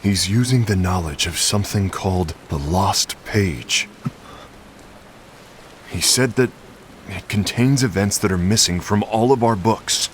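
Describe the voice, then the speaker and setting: A man's voice: sits low, a little slow, slight background noise. A man playing a proud, bitter warrior in a dramatic video game cutscene, brooding and emphatic. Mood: wry, resentful. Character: gruff, proud, bitter male warrior and comrade. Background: music.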